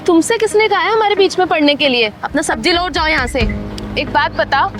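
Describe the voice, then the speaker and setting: Arrogant, very high-voiced young customer. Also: female voice, fairly quick, a bit bright. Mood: haughty. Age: young adult. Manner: haughty, argumentative. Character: arrogant, haggling, rude young female customer.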